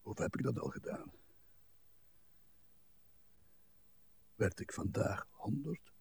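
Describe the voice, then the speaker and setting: An actor playing an ailing, blind old husband who grumbles at length in a morbid, crotchety way, in a literary radio play. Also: male voice, mid-range voice, lively intonation, moderate pace, gravelly, a little mellow, a little hiss or hum. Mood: gloomy musing. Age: older. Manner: morbid, querulous, long-winded grumbling with musing asides. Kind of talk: literary radio drama acting.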